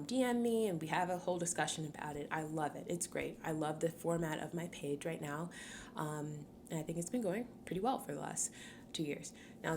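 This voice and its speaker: A woman in her twenties, from Cameroon, hosting a solo podcast in a chatty, upbeat way, laughing often and filling pauses with ums. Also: fairly low pitch, a little mellow, slight background noise. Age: 20s. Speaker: podcast host.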